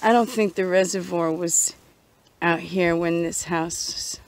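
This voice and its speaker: A woman vlogger casually narrating a nature walk outdoors, musing aloud in a halting, unscripted way. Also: lowish voice, fairly even intonation. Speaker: vlogger and walking guide. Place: outdoors on a woodland trail. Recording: outdoor noise, slightly muffled at times.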